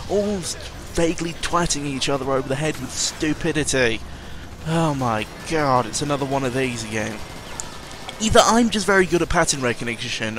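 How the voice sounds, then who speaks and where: A man's voice: on the high side, background noise. A man giving a casual, rambling audio-log monologue as host, sardonic and cynical, often interrupting himself and swearing freely.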